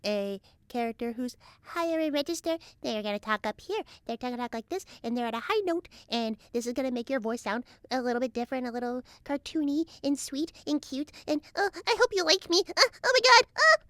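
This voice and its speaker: A sweet, cutesy little cartoon character, pitched high and swooping all over. The manner is excitable and eager to please, with a bright edge to every phrase. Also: female voice, a little fast, few pauses, no echo. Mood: gushing.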